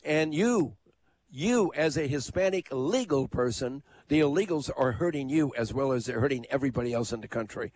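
A man's voice: mid-range voice, expressive, moderate pace, darkish tone, no room sound. A man hosting a call-in radio talk show, speaking in a conversational, emphatic way. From United States.

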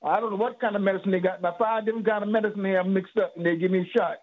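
A man in his seventies calling in to a radio health show, talking haltingly with many stammered restarts. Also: high-pitched, fairly even intonation. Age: 70s. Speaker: caller. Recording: tinny phone line.

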